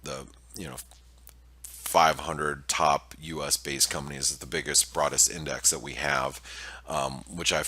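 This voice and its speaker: Very deep nasal man, bright tone. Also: fairly even intonation, measured pace. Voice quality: nasal from allergies. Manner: hesitant, many fillers and restarts. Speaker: market analyst presenting weekly preview. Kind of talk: casual screen-share market commentary.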